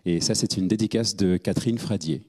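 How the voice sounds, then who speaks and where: A young man reading short texts aloud in a calm, measured way, into a handheld mic in a studio. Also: deep voice, long reverb tail. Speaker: actor reading dedications. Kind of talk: read-aloud. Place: small studio set.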